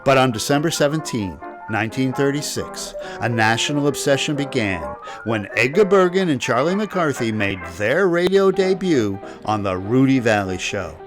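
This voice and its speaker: A man with a mid-range voice narrates from a script. His delivery is wry and jokey, in a documentary style. Music plays clearly behind him. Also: mid-range voice, expressive, normal speed, hardly any pauses. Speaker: narrator of old-time radio history.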